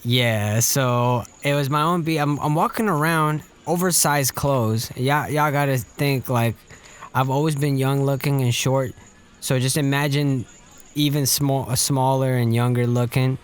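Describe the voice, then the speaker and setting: A young man co-hosting a podcast, telling stories in relaxed, self-mocking casual chat. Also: mid-range voice, measured pace, smooth. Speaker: podcast co-host.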